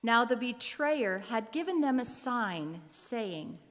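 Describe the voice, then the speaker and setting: A woman narrating scripture in a deliberate, clearly phrased way, in a reverberant hall. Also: on the high side, expressive, fairly bright tone. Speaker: narrator in a church readers' theater.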